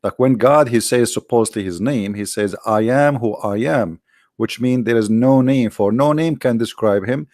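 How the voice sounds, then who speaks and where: A man whose first language is Arabic, lecturing combatively over on-screen text, with many halting fillers and slow, drawn-out stress on key words. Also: medium pitch, darkish tone, dry recording, studio quality. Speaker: religious commentator.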